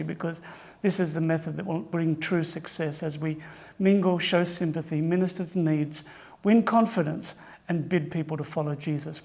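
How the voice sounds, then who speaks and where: A man's voice: fairly high pitch, a little mellow. A man hosting a mission program, presenting and interviewing in an upbeat, articulate, polished manner. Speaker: TV program host.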